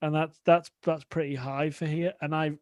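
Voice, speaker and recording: A man's voice: a little high, flat delivery, normal speed, dry recording, clean studio sound. A man hosting a podcast interview over a call, rambling and repeating words as he thinks aloud, tired after a long day of shooting. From United Kingdom. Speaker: podcast host. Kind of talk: casual remote interview chat.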